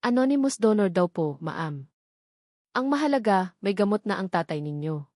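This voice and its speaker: A woman's voice: medium pitch, lively intonation, moderate pace, a little mellow, no room sound, studio quality, natural voice. Woman reading a polite pharmacist. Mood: polite, reassuring. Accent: Filipino. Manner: polite, deferential. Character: polite, matter-of-fact pharmacist.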